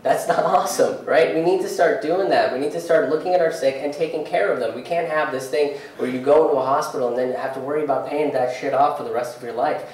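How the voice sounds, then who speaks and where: A young man from India doing live stand-up comedy on a theater stage, ranting in a sarcastic, politely angry way with cursing and quick asides. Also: on the high side, darkish tone, slight background noise. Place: theater stage before a laughing audience. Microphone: handheld mic, heard from far off in the hall. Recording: very echoey theater sound from a distance.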